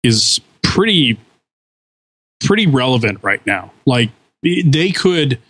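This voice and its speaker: A man on a podcast chats eagerly in a middle-pitched voice and keeps restarting his thoughts. The sound is dry and close, with no room around it. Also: sing-song, slow, fairly bright tone, slightly rough, no room sound, rough recording. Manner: enthusiastic, rambling with frequent restarts. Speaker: podcast co-host. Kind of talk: casual podcast chat.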